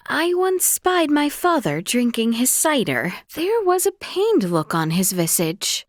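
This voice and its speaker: A woman's voice: high-pitched, no echo, clean studio sound, full character voice. A woman playing an earnest, refined young princess in a video game, speaking with formal, archaic courtly politeness. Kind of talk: video game voice acting, scripted dialogue.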